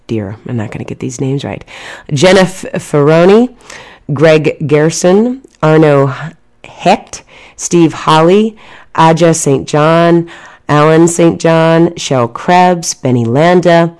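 Low-voiced lady radio host, loud and chatty, lots of ums and uhs, gushing about her guest. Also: slow. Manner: chatty, enthusiastic, many fillers and restarts. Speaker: radio show host and numerologist. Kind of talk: casual radio interview chat.

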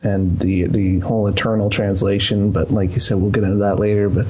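A man in a casual discussion, relaxed and joking. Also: lowish voice, fairly quick, breathy edge, low-quality recording.

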